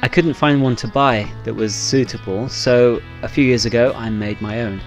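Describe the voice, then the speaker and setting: A man giving an informal how-to voice-over as narrator, in a hesitant, halting way with short phrases. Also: mid-range voice, steady tone. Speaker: narrator, solo sailor demonstrating a homemade stove. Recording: music playing behind the voice.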